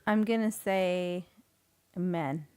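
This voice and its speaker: A woman's voice: fairly low pitch, drawn-out words, a little mellow, clear, resonant tone. A woman co-hosting a podcast, talking in a casual, conversational style. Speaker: podcast co-host.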